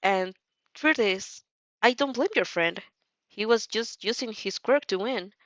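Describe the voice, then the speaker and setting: A woman voicing a gentle, downcast teenage boy student in a story, soft-spoken and polite. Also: medium pitch, measured pace, dry recording, muffled recording, close to an everyday voice.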